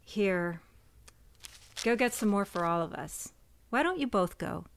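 A woman's voice: mid-range voice, animated, unhurried, slight background noise. A woman acting a stressed cousin who runs a failing shop, stern and exasperated. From United States. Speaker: shop owner character. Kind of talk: audio drama acting.

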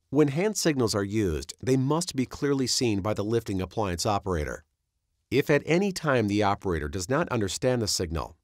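A man reading scripted instructional narration as a training presenter, in a measured, instructive manner. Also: lowish voice, no echo, clean studio sound.

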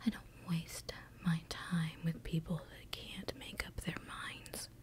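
Woman whispering as a slow, sinister demon girl. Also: sits low, little pitch movement. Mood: menacing. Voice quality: breathy whisper. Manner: slow, teasing, drawn-out delivery. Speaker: ASMR roleplay performer. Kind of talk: whispered ASMR roleplay.

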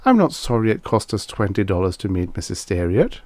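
A man's voice: big pitch swings, fairly quick, a little mellow, dry recording. A man voicing a curious, polite wife in a comic audiobook story. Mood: thoughtful. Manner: polite, inquisitive, higher-pitched put-on voice.

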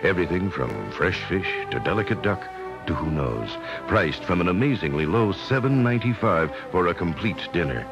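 A man playing a grand, mock-solemn narrator in an early 1980s radio commercial, pompous and deadpan. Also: sits low, moderate pace, dull audio, music in the background.

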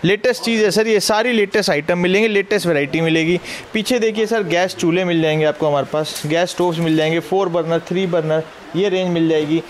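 Male shop salesman, high voice, Delhi Hindi accent, pushy and persuasive warehouse walkthrough. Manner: brisk persuasive, addresses viewer as sir. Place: large electronics warehouse indoors.

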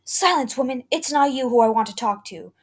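A woman voicing a menacing male toy maker who turns from calm to raving and growls his threats. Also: fairly high pitch, fairly quick, close to an everyday voice. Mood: sinister.

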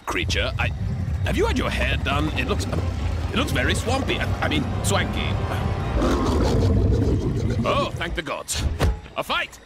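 A man playing an earnest, bumbling thunder-god warrior in an animated game, with formal, archaic, theatrical speech. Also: fairly high pitch, a little slow, long pauses. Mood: flustered. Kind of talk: animated game hero acting. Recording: loud music under the voice.

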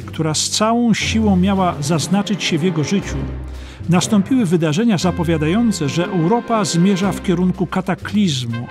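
A man reading scripted history narration as an audiobook narrator. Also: sits high.

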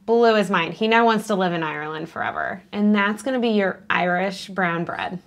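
A young woman from the United States chimes in with brisk, practical tips, her voice often dropping into a gravelly fry. She speaks casually into a clip-on mic in a quiet room. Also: medium pitch. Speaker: travel vlog co-host. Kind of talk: casual food recommendations to camera. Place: indoor home room with plants. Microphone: lapel mic.

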